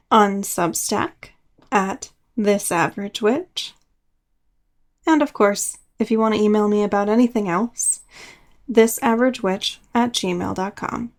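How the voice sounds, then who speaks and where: A woman's voice: mid-range voice, creaky, no room sound, clean studio sound. A woman hosting a podcast, telling personal stories from a script with casual, conversational asides. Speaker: podcast host.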